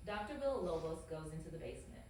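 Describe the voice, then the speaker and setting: A woman's voice: low voice, sing-song, fast talker. Echoey woman reading stage directions. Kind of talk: script narration at table read. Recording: very echoey room, distant mic, noisy.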